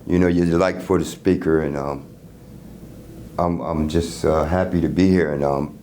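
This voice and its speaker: A middle-aged man casually explaining his product in an interview, halting and repeating words as he goes. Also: a bass voice, fairly even intonation, mellow. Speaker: loudspeaker creator being interviewed. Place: hotel room at an audio show. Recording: noisy room ambience.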